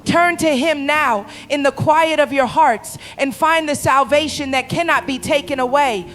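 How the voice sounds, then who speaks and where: Casting: a performer as an earnest, consoling pastor with a high-set voice, preaching solemnly to survivors in an audio drama. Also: a little slow, fairly bright tone, a little hiss or hum. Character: solemn pastor comforting a sheltering congregation amid apocalypse. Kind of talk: scripted sermon in an audio drama.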